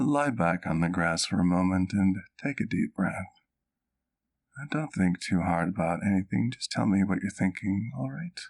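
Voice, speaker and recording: A man playing a courteous, caring vampire doctor in an intimate ASMR roleplay, speaking formally and with measured, old-fashioned phrasing. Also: deep voice, gravelly, a little mellow, exaggerated voice. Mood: gently puzzled. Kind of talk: ASMR roleplay, intimate one-sided dialogue to the listener.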